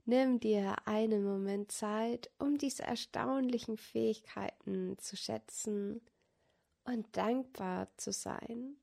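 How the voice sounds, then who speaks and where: A woman guiding a yoga meditation as a teacher, speaking softly and calmly with long pauses between instructions. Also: fairly high pitch, creaky. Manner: soft, calm, soothing, with long pauses. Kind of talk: guided yoga nidra meditation.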